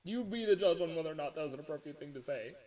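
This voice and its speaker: A man's voice: fairly high pitch, expressive, rapid-fire, a little mellow. A man chatting casually on a livestream as an amateur voice actor, rambling, self-deprecating and breaking off mid-thought.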